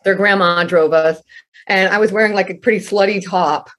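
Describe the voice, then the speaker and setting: A woman in her fifties, scratchy from a night of karaoke, telling stories in animated casual chat and swearing freely. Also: mid-range voice, normal speed. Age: 50s. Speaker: guest masseuse. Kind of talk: casual storytelling.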